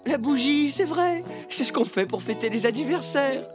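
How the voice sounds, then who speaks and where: An actor playing a theatrical, expressive male fox who frets about getting old, in a children's cartoon. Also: high-pitched, normal speed, music in the background. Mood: cheerful, excited. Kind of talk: animated children's cartoon dubbing.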